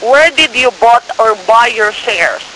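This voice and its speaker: A man with non-native English phrasing giving a persistent, partly scripted telephone sales pitch, often checking that the listener follows. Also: lively intonation, a little slow, pushed and bright. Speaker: cold-call share scammer posing as broker. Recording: noisy phone call with crackly hiss.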